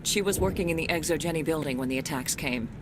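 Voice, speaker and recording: A woman's voice: fast talker, close to an everyday voice. An actress playing a trusting, determined middle-aged colonist mother in a video game, earnest and firm. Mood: worried, determined. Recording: noisy rumbling ambience behind voice.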